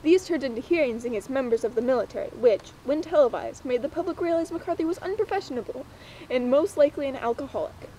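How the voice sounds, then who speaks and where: Young female presenter, high voice, scripted mock-documentary read, slight noise behind. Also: animated, fast talker, dark tone, rough recording. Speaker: presenter and interviewer.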